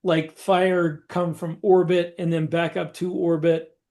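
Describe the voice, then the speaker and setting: High-pitched man in halting casual talk. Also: flat delivery, darkish tone. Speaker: podcast co-host. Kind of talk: casual discussion, describing story scenes.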